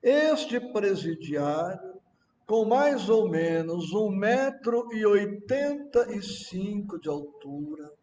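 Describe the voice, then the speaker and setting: Reverberant and far from the microphone, a Brazilian man delivers a religious lecture. His voice sits high for a man, and he moves very slowly, setting out short, emphatic phrases in a deliberate oratorical manner. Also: very animated, a little mellow, a little hiss or hum. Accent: Brazilian Portuguese. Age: middle-aged or older. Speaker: Spiritist lecturer. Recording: echoey reverberant room, distant microphone.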